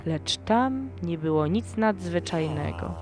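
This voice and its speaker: A woman narrating a first-person horror story in a slow, dramatic storytelling manner. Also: fairly low pitch, animated. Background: background music.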